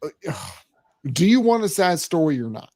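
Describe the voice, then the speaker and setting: A man in his forties hosting a casual sports podcast, teasing and animated, often restarting his words. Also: on the high side, unhurried. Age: 40s. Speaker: podcast host.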